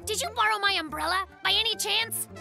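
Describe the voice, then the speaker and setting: A woman voicing an excitable young boy bear in a cartoon, melodramatic and self-important like a detective. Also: very high voice, a little fast, creaky, over loud music, exaggerated voice. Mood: dramatic, suspenseful. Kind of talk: cartoon dubbing with mock-noir narration.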